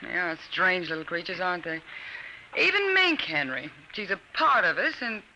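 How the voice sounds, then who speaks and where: Bright, anxious 1950s American radio mother. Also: on the low side, wildly swooping pitch, normal speed, heavily acted. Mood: pensive. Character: anxious 1950s suburban mother, strict yet fretful. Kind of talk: 1950s radio drama acting. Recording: noisy old 1950s radio recording.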